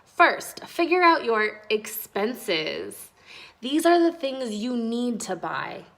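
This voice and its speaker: Woman reading as a helpful, slow-spoken uncle. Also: on the high side, very animated, gravelly, a bit bright, full character voice, low-quality recording. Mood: instructive. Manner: instructive, encouraging. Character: helpful, wise uncle teaching children about money.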